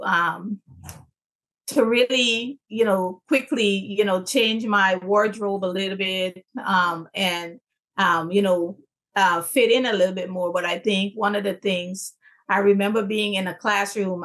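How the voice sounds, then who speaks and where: A woman's voice: medium pitch, steady tone, a little slow, a bit bright. A woman from Guyana, a guest on an interview show, telling her story in a chatty, loose way. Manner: chatty, many ums and you-knows, laughs easily. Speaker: guest, legacy coach. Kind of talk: casual interview.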